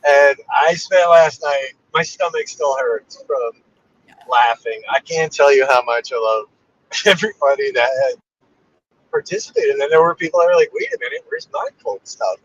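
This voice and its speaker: A man's voice: fairly high pitch, huge pitch swings, moderate pace, fairly bright tone, husky. A man hosting a casual live stream, joking in a dry way with his co-host. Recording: dull lo-fi sound.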